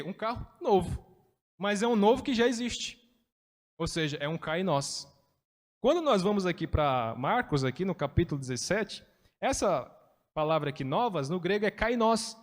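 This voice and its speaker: A man's voice: sits high, big-room sound. A man teaching a Bible study in a chatty way, explaining with everyday examples.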